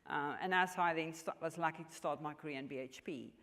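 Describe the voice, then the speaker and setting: A woman in her fifties from South Africa, with a South African accent and Afrikaans as her first language, answering interview questions in an earnest, measured way with frequent restarts. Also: low-pitched, fairly bright tone. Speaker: mining executive guest. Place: large function hall. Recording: long hall reverb.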